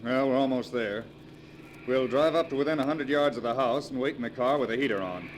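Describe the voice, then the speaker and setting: A man's voice: medium pitch, a little fast, natural voice, poor audio. A man playing an edgy, irritable swindler's accomplice in a melodramatic 1940s radio play, with clipped delivery. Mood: brisk. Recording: vintage radio recording, faint hiss.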